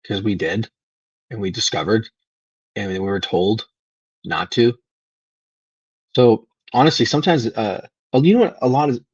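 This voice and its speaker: A man from Venezuela talking casually and unscripted as a livestream host, rambling and halting as his thoughts trail off. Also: on the low side, slightly rough, no echo, dull audio. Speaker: live stream host.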